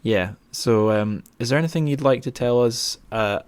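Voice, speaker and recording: A man's voice: medium pitch, normal speed, clean tone, no echo. A man hosting a casual podcast interview, speaking in an awkward, hesitant, self-deprecating way. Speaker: podcast host.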